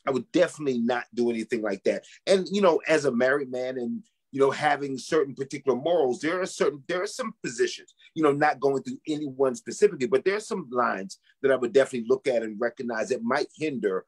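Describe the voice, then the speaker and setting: A man with a husky, mid-pitched voice talks in a reflective, conversational way. He chooses his words carefully and sounds earnest and measured.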